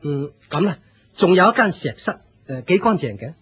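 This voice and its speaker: An actor playing a proud, fervent leader of a fire cult in a Hong Kong Cantonese radio drama, declaiming with pompous authority. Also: male voice, a little high, very animated, moderate pace, fairly bright tone, husky, dull audio, full character voice. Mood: authoritative.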